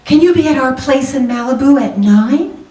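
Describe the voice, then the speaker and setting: A woman's voice: high voice, lively intonation, normal speed, dark tone. A woman playing an anxious, genteel young wife in 1940s radio drama style, polite, fretful and hesitant. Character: anxious, genteel young married woman seeking a detective's help. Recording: roomy, distant microphone, music behind.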